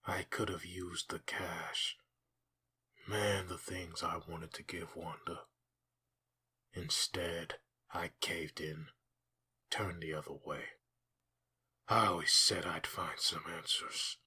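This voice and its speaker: A man voicing a grim, haunted undead assassin in a brooding comic dub monologue, with a raspy, gravelly growl. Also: low voice, fairly even intonation, slow pace, pauses often, sharp tone, full character voice. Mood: brooding, bitter.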